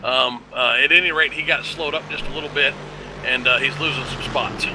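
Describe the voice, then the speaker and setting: A man giving live color commentary on a race, analyzing calmly with frequent hesitant fillers. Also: mid-range voice, a little fast, noisy background.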